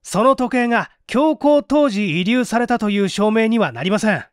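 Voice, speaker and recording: A man's voice: high voice, expressive, normal speed, no echo, clean studio sound, pitched above the performer's own voice. A man playing a cool, politely needling young man in a mystery story reading, articulate and pointed. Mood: pressing, ironic.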